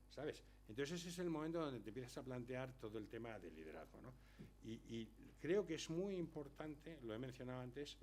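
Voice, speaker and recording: Older guy, book author, telling stories to the crowd, chatty, keeps going "right?", a little noise behind. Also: mid-range voice. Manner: chatty anecdotal storyteller, lots of tag questions. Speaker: author presenting his book. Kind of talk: casual talk answering audience questions. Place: lecture hall before an audience.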